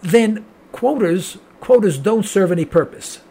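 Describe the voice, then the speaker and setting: Stammering older man with a husky voice. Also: high-pitched, lively intonation, a little slow, mellow. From New York. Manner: rambling, stammering with repeated words, joking. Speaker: radio host. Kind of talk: casual interview.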